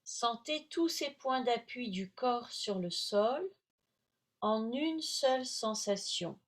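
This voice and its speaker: Woman, yoga teacher, higher-pitched voice, very slow pace, soothing guided relaxation. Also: animated. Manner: measured, soothing, long pauses between short phrases.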